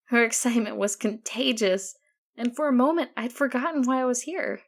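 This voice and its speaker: A woman's voice: fairly high pitch, normal speed. A woman narrating a first-person story as an audiobook reader.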